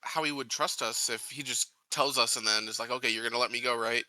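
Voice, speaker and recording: A man in casual in-character discussion at a tabletop game, reasoning things out with pauses. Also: medium pitch, fairly even intonation, sharp tone, no room sound, poor audio. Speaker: tabletop role-playing player.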